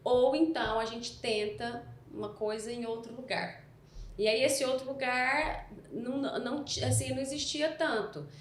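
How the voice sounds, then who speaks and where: Woman, softened Mineiro accent, rambling storyteller, echoey room, faint ambience. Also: a little high, steady tone, normal speed, slight background noise. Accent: Mineiro Brazilian Portuguese, softened. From Minas Gerais, Brazil. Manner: rambling, self-correcting storyteller. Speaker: guest, entrepreneur. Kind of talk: casual podcast chat.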